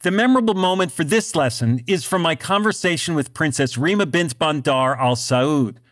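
Man hosting a business podcast, measured. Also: fairly high pitch, lively intonation, a bit bright. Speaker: podcast host. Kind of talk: scripted narration.

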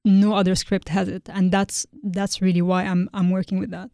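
Thoughtful woman from Lebanon, mellow voice. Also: medium pitch, level tone, moderate pace, dry recording, studio quality. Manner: thoughtful, hesitant with frequent ums. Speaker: guest artist. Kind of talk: interview conversation.

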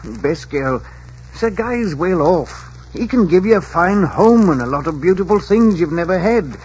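A man's voice: sits high, animated, measured pace, darkish tone, husky, full character voice. An actor playing a worried, talkative old innkeeper and father in a 1940s radio drama, fond and plain-spoken in manner. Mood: worried, pleading. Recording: muffled, faintly noisy 1940s radio recording.

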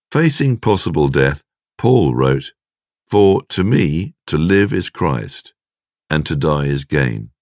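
A man reading a scripted devotional in a measured, clearly phrased way. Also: extremely low pitch, no echo, studio quality. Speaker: devotional reader.

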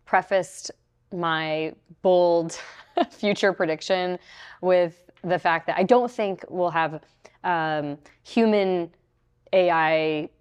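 A woman speaks with a smooth, resonant voice pitched a little low for a woman. She explains new technology in an upbeat, emphatic manner as an interview guest. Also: slow. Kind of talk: conversational interview.

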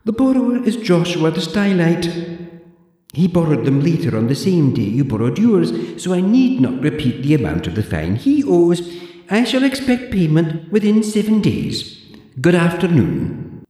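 A man puts on a withered old zombie librarian woman in this storybook reading, high and fussy, haughty and pedantic as she quotes the rules. Her voice rings in an echoey room with a long hall-like tail. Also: big pitch swings, normal speed, dark tone. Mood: prim, officious.

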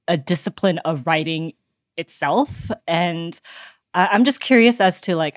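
A woman's voice: deep voice, no echo, clean studio sound. A woman podcast host asking curious, conversational questions, often with "like" fillers. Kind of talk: interview questions.

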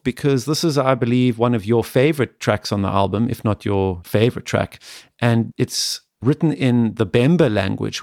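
A man's voice: on the low side, darkish tone, dry recording. Polite male interviewer, dry sound. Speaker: interviewer, host. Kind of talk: music interview, prepared questions.

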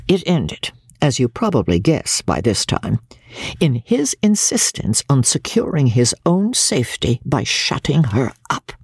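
A woman's voice: extremely low pitch, big pitch swings, measured pace, a little mellow, breathy edge, no room sound, clean studio sound, full character voice. A woman reading classic literature dramatically as a prim, self-righteous older mother, pompous, genteel and indignant in manner. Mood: self-justifying.

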